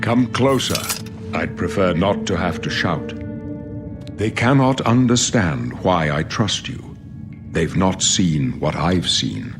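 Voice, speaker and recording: A man's voice: low-pitched, animated, slow pace, music in the background, heavily acted. An older man playing a weary, solemn old emperor in a fantasy video game, speaking in a grave, prophetic manner. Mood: earnest. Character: weary, solemn old male emperor facing his death.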